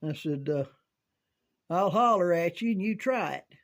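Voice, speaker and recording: Older guy w a high pitched voice, talks real slow, rambling folksy reminiscing, thinking out loud. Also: lively intonation. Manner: halting, folksy, thinking aloud. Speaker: amateur storyteller reminiscing about CB radio.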